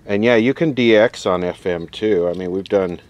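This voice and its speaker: A man's voice: lowish voice, normal speed, darkish tone. A man giving casual, unscripted hands-on commentary, rambling a little as he thinks aloud. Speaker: vlogger demonstrating vintage radio equipment.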